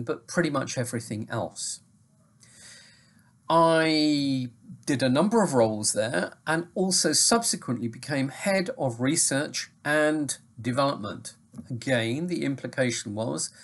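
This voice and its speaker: An older English man with a faint Mancunian accent softened by years in the south, giving a deliberate recorded lecture from a home study into a webcam. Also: fairly high pitch. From England. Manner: slow, deliberate, explanatory. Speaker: sustainability lecturer.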